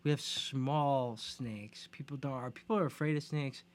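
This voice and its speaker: A man co-hosting a podcast in casual, informal chat, agreeable and quick to interject. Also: mid-range voice. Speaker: podcast co-host.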